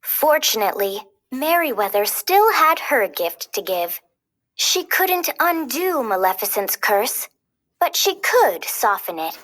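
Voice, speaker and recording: A woman reading a children's storybook aloud as a storyteller, expressive and clearly paced. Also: on the high side, slow.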